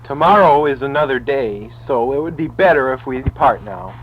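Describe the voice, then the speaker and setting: A man's voice: mid-range voice, animated, moderate pace, music in the background. A man reading a scripted amateur audio drama in a formal, recited manner, as narrator and players. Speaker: narrator and reader of parts. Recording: muffled tape with heavy noise and clicks.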